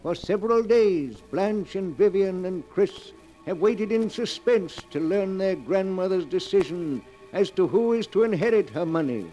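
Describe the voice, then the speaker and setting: A mysterious keeper of dark tales narrates a 1940s radio horror show. His voice is high for a man but dark in tone, theatrical and measured, over faint music. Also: expressive, poor audio. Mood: ominous.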